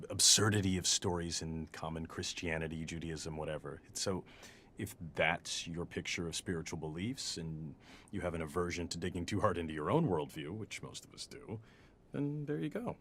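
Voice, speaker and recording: A man's voice: deep voice, normal speed, fairly bright tone, a little hiss or hum. A man playing a wry, easygoing researcher who talks colloquially in a casual audio drama discussion.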